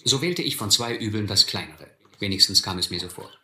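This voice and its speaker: A reflective radio play narrator looking back on boyhood adventure. His voice is fairly low and very forceful, with roomy echo. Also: expressive, a little fast, slight background noise. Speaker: first-person narrator.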